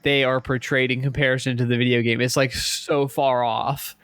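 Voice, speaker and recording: A man's voice: medium pitch, level tone, normal speed, fairly bright tone, clean tone, dry recording. Casual male podcaster, close dry sound. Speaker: podcast co-host. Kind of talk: casual gaming chat.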